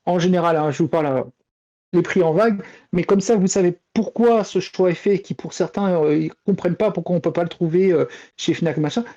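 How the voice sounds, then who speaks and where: A man on a podcast panel explaining things casually and haltingly, piecing his sentences together, over a dull voice link. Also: high-pitched, dark tone.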